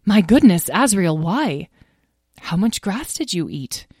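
A mother dragon in a storybook, voiced by a woman, caring and worried. Her voice is a little low and dry, gentle but firm. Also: very animated, slow, no echo, full character voice. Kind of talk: children's storybook character voice.

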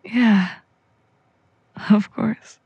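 Woman doing a soft caring boyfriend in an audio drama, hesitant, mid voice, bit muffled and dry. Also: medium pitch, fairly even intonation, very slow, vocal fry, slightly rough, no echo, full character voice. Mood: tender, hesitant. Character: gentle, caring young man, a supportive boyfriend.

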